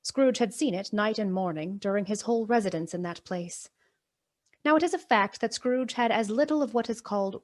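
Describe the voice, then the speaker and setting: A woman narrating a radio play as a storyteller, deliberate, suspenseful and emphatic. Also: medium pitch, natural voice. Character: storyteller of a gloomy Victorian ghost tale. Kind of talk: scripted dramatic storybook narration.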